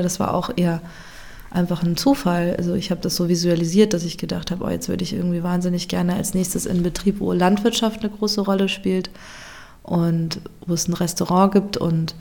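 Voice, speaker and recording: A woman chef in a casual interview, speaking reflectively and often pausing to search for words. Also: fairly low pitch, flat delivery, vocal fry, warm tone. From Germany.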